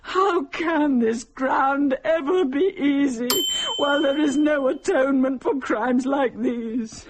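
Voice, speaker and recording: An actress playing an anguished woman possessed by a starving mother's spirit, speaking slowly and declaiming in a radio horror drama. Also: high voice, background noise. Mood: anguished, grieving.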